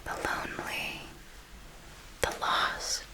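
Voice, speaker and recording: A woman playing a refined, predatory vampire mentor in an ASMR roleplay, whispering in a slow, measured, intimate way. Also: natural voice. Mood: coolly instructive. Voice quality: breathy whisper. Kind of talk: ASMR roleplay, scripted monologue.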